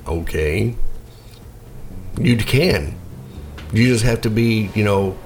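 A man's voice: lowish voice, animated, slow, darkish tone, a little hiss or hum. A man co-hosting a casual radio show, rambling with lots of fillers and jokes. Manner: rambling, filler-heavy, joking. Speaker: podcast co-host.

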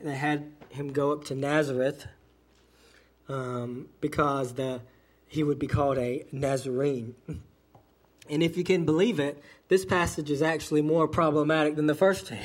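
A man, preaching a sermon as pastor and explaining scripture with frequent self-corrections. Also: on the high side. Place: church sanctuary.

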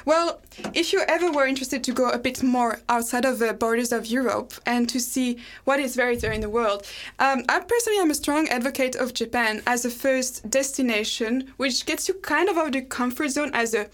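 A woman's voice: sits high, fairly quick. A young woman hosting a casual talk show, chatty, with many fillers and restarts. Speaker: host.

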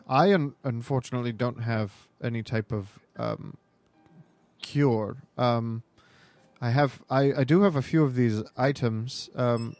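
A man playing a hesitant, apologetic, helpful apothecary shopkeeper in improvised tabletop roleplay, speaking haltingly and politely. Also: mid-range voice, a little mellow, dry recording, lightly acted.

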